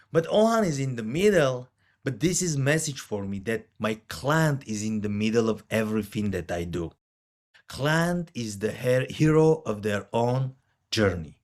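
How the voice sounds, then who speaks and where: A man who works as a financial advisor, a guest on a podcast, explaining things in an earnest, careful way. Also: medium pitch. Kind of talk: conversational interview.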